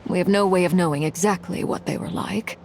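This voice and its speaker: A cold, composed noblewoman commander from a video game. She speaks formally and with controlled restraint, her voice set a little lower than most women's. A faint noise sits beneath her lines. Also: steady tone, brisk, vocal fry, a little mellow.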